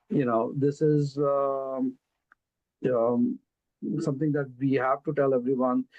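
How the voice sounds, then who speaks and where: A man with an Indian accent walks through an investment pitch on a video call, his pace unhurried and filled with pauses and fillers. The sound is dull and dry, like muffled online call audio. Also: no echo. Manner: slow and deliberate, many fillers. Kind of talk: casual webinar presentation.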